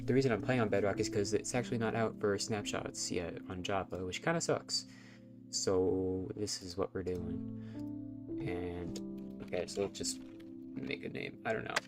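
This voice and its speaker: Low, nasal man streaming a game. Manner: rambling, self-conscious, chatty. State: stuffy nose from allergies. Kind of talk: casual live game stream commentary.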